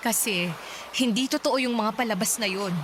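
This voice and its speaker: A woman's voice: on the high side, normal speed, noisy background, lightly acted. An actress playing a caring, reassuring mother in a radio drama, speaking in a tender, motherly way. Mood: reassuring, earnest. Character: caring, reassuring widowed mother of two young boys. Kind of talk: Tagalog radio drama acting.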